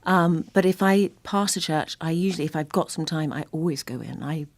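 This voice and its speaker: A woman's voice: deep voice, fairly quick, no room sound. A woman speaking casually and conversationally as a guest in an interview.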